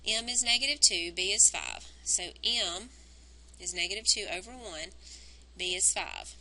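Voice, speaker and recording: A woman teacher recording a math lesson on a wired mic, explaining each step and counting points aloud, her voice worn and going hoarse. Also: medium pitch, a little slow, pushed and bright, rough recording. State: losing her voice. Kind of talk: recorded math lesson, working through graphs. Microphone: wired microphone on a cord.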